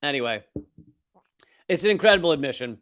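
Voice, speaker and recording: A man's voice: on the high side, animated, brisk, a bit bright, dry recording, studio quality. A man hosting a political comedy show, delivering sardonic, profane commentary with mocking put-on voices.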